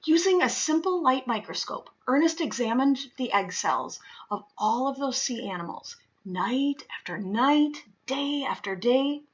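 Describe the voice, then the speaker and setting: A woman reading a children's nonfiction picture book aloud, with deliberate, emphatic phrasing for young listeners. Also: high voice, expressive, husky, dull audio. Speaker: read-aloud reader.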